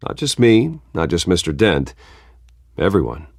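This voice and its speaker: Smooth rich philanthropist in a video game, measured and polite, gravelly, pitch moves around a lot. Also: male voice, sing-song, a little mellow, dry recording, studio quality, heavily acted. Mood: earnest, measured. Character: reserved wealthy man, smooth charming philanthropist host.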